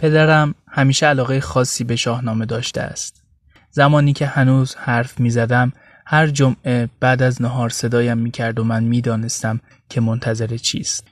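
A man's voice: medium pitch, no echo. Man narrating, dry close sound. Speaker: radio narrator. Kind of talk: scripted first-person story narration.

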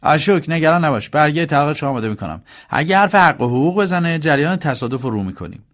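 A man voicing a brisk, insistent brother from a rich family, businesslike, in a dramatized audiobook. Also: on the high side, very animated, fairly bright tone, pitched above the performer's own voice. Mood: insistent, scheming.

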